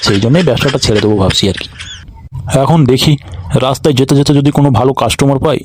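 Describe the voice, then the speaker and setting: A man's voice: medium pitch, quick pace, slight background noise, close to an everyday voice. A man voicing a crafty, boastful older village fish seller in a comic cartoon, chatty and foul-mouthed.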